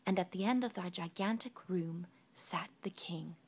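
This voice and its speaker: A woman narrating a children's storybook expressively, in a hushed, deliberate storytelling manner. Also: fairly low pitch, slightly rough. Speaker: storybook reader.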